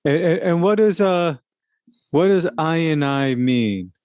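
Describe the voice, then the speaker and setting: A man hosting a casual podcast chat, speaking in a hesitant, halting way and often repeating words. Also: a little high, lively intonation, darkish tone, clean tone, no echo. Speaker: podcast host.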